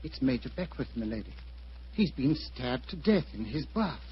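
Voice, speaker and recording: An actor playing a deferential, shaken butler with a husky voice, in 1940s radio style. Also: male voice, medium pitch, sing-song, normal speed, a little hiss or hum, natural voice. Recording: muffled old radio recording.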